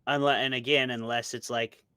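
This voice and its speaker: A man's voice: medium pitch, level tone, a little fast, bright, forceful tone, smooth, dry recording, studio quality. An American man chatting casually and informally as an interview guest, giving his opinions with some hedging. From small rural town in United States. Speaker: guest, author and podcast co-host.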